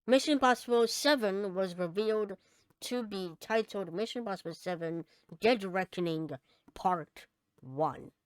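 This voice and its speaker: A woman co-hosting a casual podcast, chatting and reading out news items, somewhat halting when she reads aloud. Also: medium pitch, clear, resonant tone, no room sound, rough recording. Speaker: podcast co-host.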